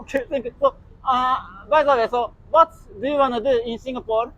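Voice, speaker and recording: A Japanese man with a high, light voice and a Japanese accent conducts quick street interviews in English. He asks brief, polite questions and thanks everyone often. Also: fairly even intonation, lo-fi sound. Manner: short polite questions, frequent thank-yous and soft laughs. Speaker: street interviewer and channel host.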